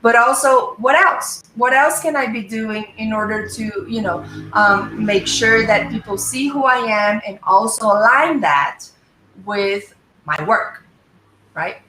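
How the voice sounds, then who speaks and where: A woman speaks thoughtfully, pausing often with fillers. Her tone is bright and sharp. She sits a little back from the mic in a roomy, echoing space. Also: fairly high pitch, slight background noise. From Brazil. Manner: thoughtful, hesitant, many fillers. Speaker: guest. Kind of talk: casual conversation on a live show. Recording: echoey room, mic a bit far.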